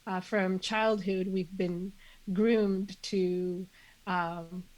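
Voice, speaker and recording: A woman guest with a congested voice, speaking earnestly and in measured phrases, with many fillers. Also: mid-range voice, fairly even intonation, a little mellow. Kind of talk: reflective conversational interview.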